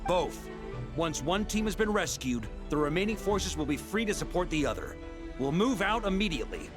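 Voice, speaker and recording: A fairly high-voiced male commander in a video game, confident and decisive. His delivery is lively and assured, with music clearly behind him. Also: moderate pace. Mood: resolute.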